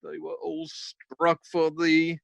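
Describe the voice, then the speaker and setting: Male actor as grieving warrior lord, slow and halting, dry close sound, radio Shakespeare. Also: on the high side, very animated, vocal fry, fairly bright tone, dry recording, exaggerated voice. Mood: anguished guilt. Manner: slow, halting, anguished delivery. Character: grief-stricken Scottish nobleman, proud warrior father. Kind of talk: amateur radio-play Shakespeare acting. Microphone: recorded over video call.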